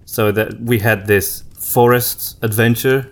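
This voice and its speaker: A man hosting a casual podcast conversation, thinking aloud with pauses as he talks. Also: mid-range voice, fairly even intonation. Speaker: podcast host.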